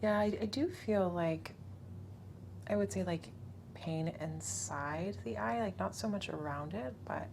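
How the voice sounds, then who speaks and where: A woman playing a patient in a quiet ASMR roleplay, talking softly and hesitantly and trailing off into whispers. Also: medium pitch, a little slow. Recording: clear background noise.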